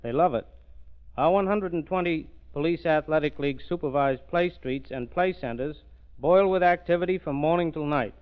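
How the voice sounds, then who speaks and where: A man, a police official as guest, giving prepared remarks in an earnest, formal, deliberate manner. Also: on the high side. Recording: muffled 1930s radio broadcast.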